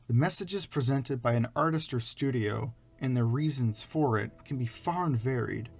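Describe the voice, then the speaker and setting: A man's voice: medium pitch, moderate pace, creaky, music in the background. A man reading scripted narration for a video essay.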